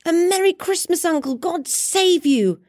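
A woman voicing a cheerful young gentleman, an earnest and eager nephew in an audiobook. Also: very high voice, animated, a little slow, no echo, clean studio sound, pitched above the performer's own voice. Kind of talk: dramatic audiobook character reading.

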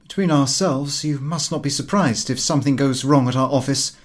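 A man voicing a brash, excitable young son, flippant and slangy, in an audiobook. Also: mid-range voice, brisk, natural voice. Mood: apologetic. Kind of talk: audiobook character voice.

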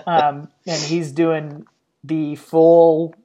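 A man's voice: a little high, flat delivery, extremely slow, warm tone, dull audio. A man co-hosting a podcast, casually recapping old matches with light laughs. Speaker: podcast co-host.

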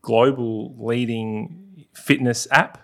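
A man hosting a podcast, reading an upbeat intro and then asking relaxed interview questions. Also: mid-range voice, slow pace, no echo, studio quality. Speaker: podcast host.

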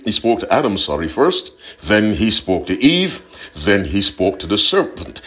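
Man, preacher, very low voice, expressive rising and falling delivery, earnest, repeats for emphasis. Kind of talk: short broadcast devotional sermon.

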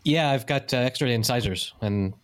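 A man's voice: medium pitch, normal speed. A man hosting a podcast in casual chat, often stammering and restarting as he gathers his thoughts. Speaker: podcast host.

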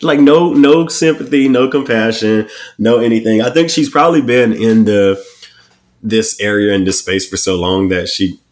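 A man co-hosting a casual chat, blunt and profane, talking with animated swings. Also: on the low side, normal speed. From Washington DC area. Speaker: podcast co-host.

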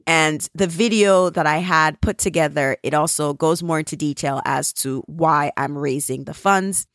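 Jamaican woman, podcast host, earnest and grateful, gravelly fry, dry close sound. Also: sits low, normal speed, no room sound. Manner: earnest, grateful appeal for support. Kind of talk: casual solo podcast update.

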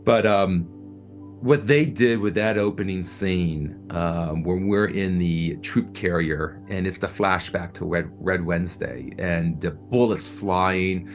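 Deep-voiced man talking reflectively, music behind. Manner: halting, repeats words as he searches for phrasing; earnest, enthusiastic. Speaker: audio drama producer being interviewed. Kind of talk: reflective interview talk.

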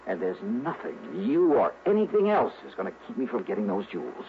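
An actor playing a tough, wry insurance investigator in a 1960s radio drama, speaking in a clipped, hard-boiled manner. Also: male voice, fairly low pitch, huge pitch swings, fairly quick, gravelly, heavily acted. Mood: menacing. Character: tough, wry male insurance investigator narrating his case. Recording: muffled, hissy old radio broadcast recording.

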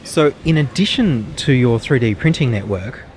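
A man hosting a radio show, presenting guests in a brisk, straightforward way. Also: mid-range voice, expressive, darkish tone. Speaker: radio host.

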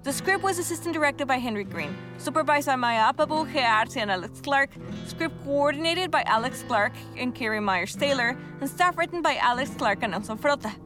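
A woman's voice: sits high, lively intonation, fairly quick, few pauses. A woman reading scripted end credits as a podcast announcer, with guitar music behind her. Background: plucked guitar music.

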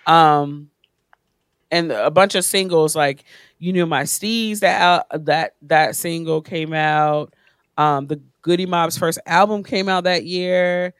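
A woman in her late forties, a podcast guest at home, talking with frank enthusiasm and quick laughing asides. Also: low voice, clear, resonant tone, dry recording, clean studio sound. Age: 40s. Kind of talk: casual podcast chat.

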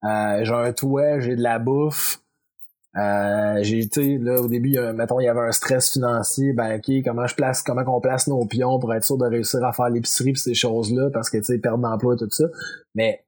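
A man from Quebec with a Quebec French accent, a podcast guest answering casually, halting and repeating words. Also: mid-range voice, steady tone, darkish tone, husky.

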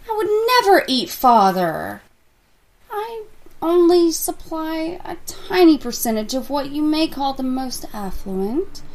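An actress playing a secretive young woman with a grisly trade, speaking in a calm, controlled, politely menacing way. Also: on the high side, a little hiss or hum. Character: cold, secretive young woman supplying human meat. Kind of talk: horror radio play acting.

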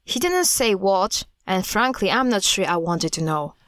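A woman's voice: mid-range voice, animated, normal speed, a bit bright, dry recording, natural voice. Actress as guarded female cult hunter, blunt, grave, matter-of-fact, mid-range voice, dry close studio sound, audio drama. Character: blunt, guarded woman hunting a doomsday cult.

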